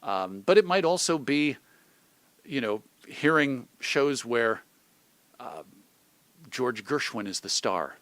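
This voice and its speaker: A middle-aged man with an American accent telling stories conversationally as an interview guest, reflective and a little halting. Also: medium pitch, big pitch swings, a bit bright, no room sound. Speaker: radio host being interviewed.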